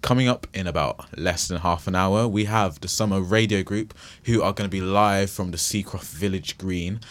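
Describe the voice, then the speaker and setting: Deep-voiced guy hosting local radio, rambly and chatty, lots of ums. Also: normal speed. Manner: chatty, rambling with restarts and fillers. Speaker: radio presenter. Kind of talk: casual radio show presenting. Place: radio studio.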